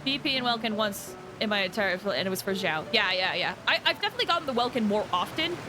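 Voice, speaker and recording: A woman's voice: mid-range voice, expressive, fast talker, rough recording. Chatty woman streamer over game music. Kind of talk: casual chat to live stream viewers.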